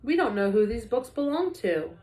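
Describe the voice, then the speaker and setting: A woman's voice: on the high side, very animated, moderate pace, dark tone. A woman voicing a cautious, bookish young boy in a storybook, earnest and worried. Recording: echoey room.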